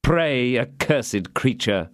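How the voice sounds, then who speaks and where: A man's voice: mid-range voice, animated, slow, no room sound, clean studio sound. A man voicing a vengeful nobleman who mocks and threatens his prisoner. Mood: mocking. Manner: mocking, menacing. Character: vengeful sneering nobleman, the Baron.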